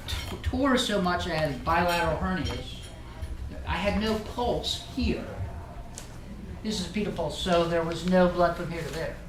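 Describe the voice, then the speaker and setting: A middle-aged man from Ireland giving a casual, joking talk to a live group, rambling with frequent restarts. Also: fairly high pitch. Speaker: guest speaker. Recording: roomy meeting room, distant mic, noisy.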